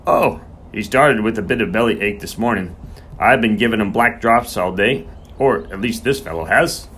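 A man's voice: fairly low pitch, moderate pace, a bit bright, a little hiss or hum, lightly acted. A man voicing a sneering, bad-tempered horse-keeper in an audiobook, snarling and sarcastic. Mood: dismissive, sneering.

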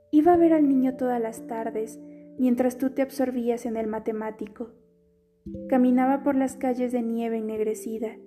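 Mellow-voiced woman reading softly over guitar. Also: a little high. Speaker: reader of a literary letter. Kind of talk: scripted audiobook reading.

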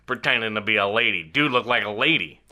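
Man, very sharp bright voice, animated intonation, podcast co-host, casual jokey banter, slangy. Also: lowish voice, fast. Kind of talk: casual comedic film chat.

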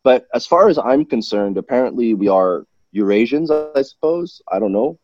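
A man's voice: mid-range voice, normal speed, highs cut off, over music. A man from Malaysia, a guest in a casual interview, talking at length with many restarts and self-corrections. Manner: rambling, self-correcting, animated.

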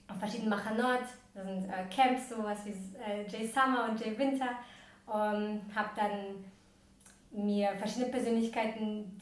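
Chatty young woman in an echoey room. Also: a little high. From Ukraine. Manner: chatty, many fillers and restarts. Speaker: podcast guest. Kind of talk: casual interview talk. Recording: echoey room, distant from mic.

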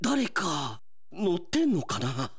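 A man acting a brash, aggrieved high school boy in a melodramatic, exclamatory radio drama style. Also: huge pitch swings, takes it slowly, husky, heavily acted. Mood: startled, confused.

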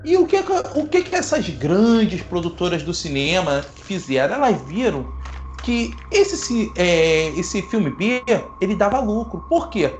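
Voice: mid-range voice, big pitch swings, a little slow, slight background noise. A host, explaining film history in a casual, playful way to the audience. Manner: playful, self-mocking asides.

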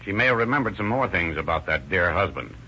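A shrewd county sheriff who speaks in a measured, authoritative way. His low but bright voice moves a lot in pitch, in 1940s radio acting on a dull, faintly hissing recording. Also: lively intonation.

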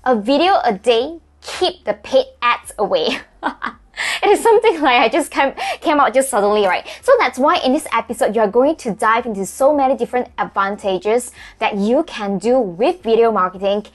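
A woman's voice: on the high side, lively intonation, normal speed. A woman in her thirties, a native Mandarin speaker from Malaysia, chatting casually in a marketing vlog with many restarts and tag questions. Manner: chatty, frequent "right?" and restarts. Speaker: vlogger.

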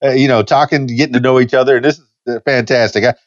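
A man's voice: medium pitch, fairly even intonation, quick pace, no room sound, highs cut off. A man in his fifties hosting a casual podcast chat, easygoing and rambling, with frequent restarts. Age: 50s. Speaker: podcast host.

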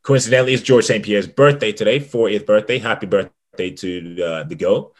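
A man's voice: on the low side, normal speed. A man co-hosting a casual sports podcast, rambling with frequent restarts and easy laughs. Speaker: podcast co-host.

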